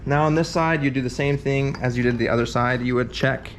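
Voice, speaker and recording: A man's voice: medium pitch, fairly even intonation, fairly quick, slight background noise. A man giving a casual hands-on repair tutorial, rambling with fillers and thinking aloud as he works. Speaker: competitor explaining a repair event.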